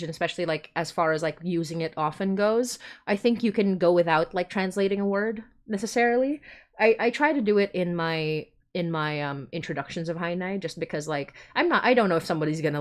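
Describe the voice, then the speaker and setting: Low-voiced woman hosting a casual chat. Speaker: podcast host and writer. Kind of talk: casual panel chat on a livestream.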